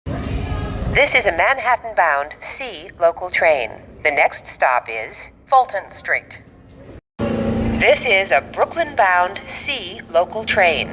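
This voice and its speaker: A woman reading scripted automated subway announcements as the train announcer, in measured, clearly segmented phrases. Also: big pitch swings, music in the background. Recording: muffled, noisy recording inside subway train. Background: train rumble and ambience.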